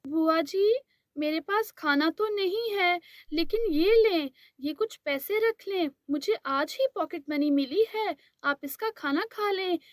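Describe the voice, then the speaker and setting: Cartoon heroine: a woman doing a gentle young girl, tearful and pleading, very high pitched, slow. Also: no echo, clean studio sound. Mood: kind. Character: sobbing kind dark-skinned young girl.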